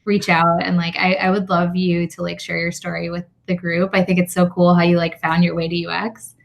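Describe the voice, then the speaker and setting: A woman's voice: lowish voice, monotone, fairly quick, gravelly. A woman hosting a meetup interview over a video call, chatty and friendly, with frequent fillers.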